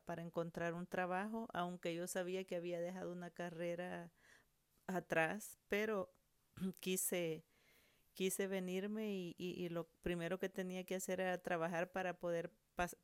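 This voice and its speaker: A middle-aged woman speaks in a low-pitched, smooth and resonant voice, recorded close and dry. She tells her own story thoughtfully, pausing often and repeating words as she searches for them. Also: no room sound. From El Salvador. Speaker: interviewee, mother. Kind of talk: personal storytelling interview.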